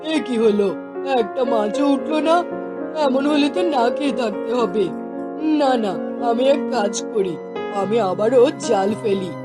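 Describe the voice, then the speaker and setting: A woman's voice: a little high, unhurried, over loud music, close to an everyday voice. A woman voicing a poor, anxious village fisherman who exclaims and laments in a cartoon fairy tale. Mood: dismayed. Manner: talking to himself, exclaiming and lamenting.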